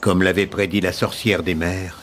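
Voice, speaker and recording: A man narrating an animated fairy tale as a storyteller, in scripted storybook style. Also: low-pitched, normal speed, a little hiss or hum.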